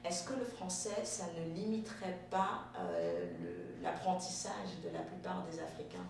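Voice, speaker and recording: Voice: mid-range voice, wildly swooping pitch, a little slow. An interviewer asking questions politely, often hesitating with fillers. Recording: echoey room, mic far off, clear noise.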